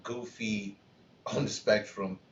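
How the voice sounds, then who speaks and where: A man's voice: lowish voice, fairly even intonation, takes it slowly. A man co-hosting a casual podcast, talking through his ideas with many restarts and "you know"s. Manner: thoughtful, restarts often, leans on "you know". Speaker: podcast co-host. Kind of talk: casual reaction podcast chat. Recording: roomy, dull lo-fi sound.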